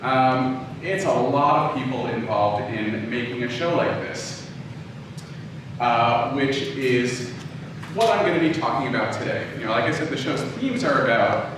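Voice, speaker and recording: A man giving an informal lecture to an audience, speaking in a hesitant, halting, low-key way. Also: lively intonation. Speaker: guest lecturer, audio drama producer. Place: echoey room, live talk before an audience. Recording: echoey room, distant, clearly noisy background.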